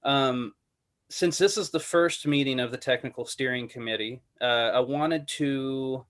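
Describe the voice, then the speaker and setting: A man's voice: mid-range voice, measured pace, a bit bright. A man hosting an online committee meeting, calling on people in a loose, rambling way. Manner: loose, filler-heavy, with restarts. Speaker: meeting host. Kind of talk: casual meeting moderation.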